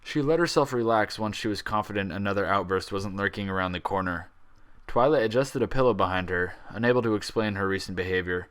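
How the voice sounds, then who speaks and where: A man's voice: lowish voice, fairly quick, a bit bright, smooth. A man reading a fan fiction story aloud in dramatic audiobook-style narration.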